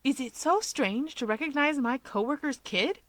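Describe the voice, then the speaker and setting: A woman's voice: sits high, moderate pace, fairly bright tone, dry recording, voice pushed up. A wary young man, the hero of an audiobook tale, read by a woman. He questions things in stunned disbelief, straining to stay patient. The recording is dry. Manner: incredulous, questioning. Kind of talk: fan fiction audiobook character voice.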